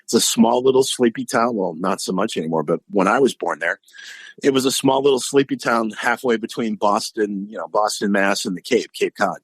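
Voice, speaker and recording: Man, New England accent, middle-aged, rambling casual chat, low husky voice. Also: brisk, no room sound, low-quality recording. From Massachusetts. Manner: rambling, chatty, restarts often, says "dude". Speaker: podcast guest, acupuncturist and martial artist.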